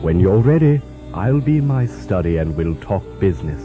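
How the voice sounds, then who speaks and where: A man's voice: deep voice, sing-song, moderate pace, over music. A man playing a genial, courteous, slightly dotty mad doctor in a comic horror radio play.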